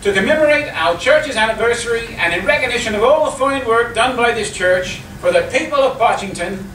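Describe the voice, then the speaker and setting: A man playing a sly newspaperman with polite menace in a live comedy radio play, measured and insinuating. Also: very high voice, animated, a bit bright. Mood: formal. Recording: echoey distant live recording, faint noise.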